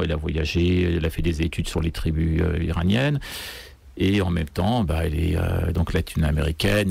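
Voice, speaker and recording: Deep, gravelly man from Iran. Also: a little mellow, dry recording. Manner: hesitant, halting delivery full of pauses. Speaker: guest singer. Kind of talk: unscripted radio interview talk. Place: radio studio. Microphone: close studio microphone.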